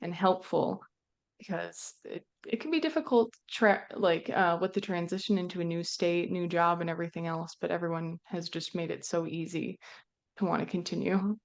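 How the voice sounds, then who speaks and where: A woman's voice: lowish voice, steady tone, normal speed, gravelly. A young woman from central Minnesota, a broadcast engineer answering interview questions in a friendly way with frequent ums. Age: young adult. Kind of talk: conversational interview.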